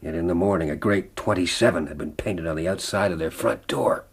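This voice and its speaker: An actor playing a rugged, defiant frontier man with a husky voice in a radio drama. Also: sits low, fairly quick. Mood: brooding. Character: rugged frontier man in love, narrating his ordeal, defiant. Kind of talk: radio drama acting and narration.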